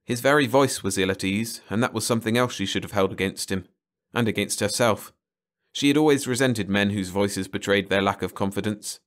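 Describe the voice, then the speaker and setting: A man's voice: on the low side, a little fast. A man reading a short story aloud as a scripted bedtime audiobook narration.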